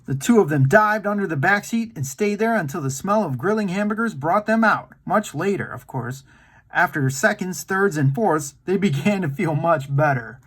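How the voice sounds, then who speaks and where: A man reading a children's storybook aloud in an animated storytime style. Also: high voice, moderate pace, breathy edge.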